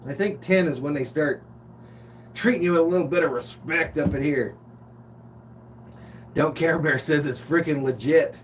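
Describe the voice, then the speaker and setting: A man's voice: fairly high pitch, normal speed, pauses often. An American man from Los Angeles hosting a live sports stream, chatting casually and chummily with viewers and reading out their comments. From Los Angeles, United States. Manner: informal, chummy, reads out chat comments. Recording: distant mic, noisy hum.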